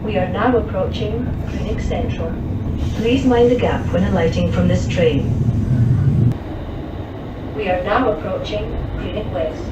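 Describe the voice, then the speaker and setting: A woman reads railway announcements formally, in slow and carefully separated phrases. Her voice comes from a distant train speaker, echoing under the loud noise of the carriage. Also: medium pitch. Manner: measured, formal, segmented phrasing. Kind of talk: recorded railway passenger announcements. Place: aboard a moving passenger train. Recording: muffled, noisy, echoey train PA captured from afar. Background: loud train and rail noise.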